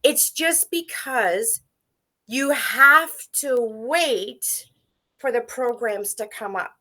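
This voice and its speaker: A woman whose first language is French, speaking English as an interview guest in an animated, talkative way with frequent fillers. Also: on the high side, very slow. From Canada. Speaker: guest, first aid instructor. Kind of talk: casual interview talk.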